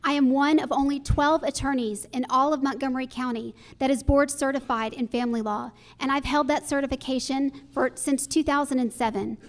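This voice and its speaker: A woman's voice: high-pitched, fairly even intonation, a little fast, hardly any pauses. A woman judge giving a prepared campaign introduction as a candidate at a forum. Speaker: judicial candidate, associate judge.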